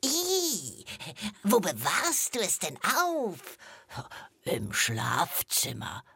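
A woman voicing an excitable, giggly hyena who tells jokes in a children's podcast, with a husky voice and dramatic stammering. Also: fairly low pitch, extremely slow, dry recording, full character voice. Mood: giggly, excited. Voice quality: husky, breaking into cackling laughter. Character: giggly female hyena, excitable self-appointed joke teller. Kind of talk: children's podcast character comedy.

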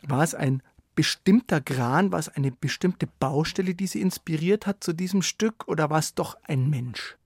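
A man hosts a radio interview with scripted introductions and questions. His voice sits a little higher than average, in a very dry studio recording. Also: normal speed, breathy edge, no echo. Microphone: studio microphone.

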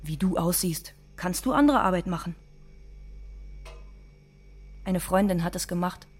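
An actress playing a weary immigrant woman in a radio play monologue, speaking in short, halting, matter-of-fact sentences. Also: lowish voice, slightly rough. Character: weary immigrant woman, former secretary, recounting debt and threats.

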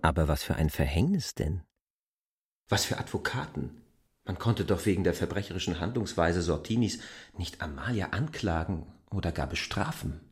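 Indignant male outsider for literary radio drama: low register, emphatic, contradicting, obstinate. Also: expressive, moderate pace, darkish tone. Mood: incredulous. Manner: objecting, emphatic.

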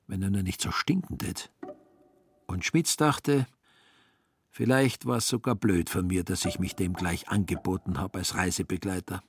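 A man narrating a literary radio play in a wry storytelling manner. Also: deep voice. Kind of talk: literary narration with acted inner monologue.

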